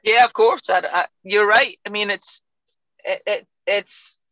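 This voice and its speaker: A woman's voice: mid-range voice, a little slow, a bit bright, no room sound, highs cut off. A woman from Scotland with a Scottish accent, guest coach on a podcast, chatting loosely with many restarts and fillers. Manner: chatty, frequent restarts and fillers, laughs easily. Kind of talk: casual podcast interview.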